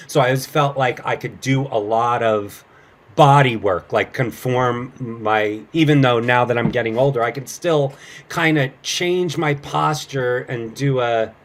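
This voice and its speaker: A middle-aged man chatting casually as an interview guest, telling stories in an informal way and acting out bits of dialogue. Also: mid-range voice. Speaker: guest, actor and improv comedian. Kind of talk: casual chat, anecdotes.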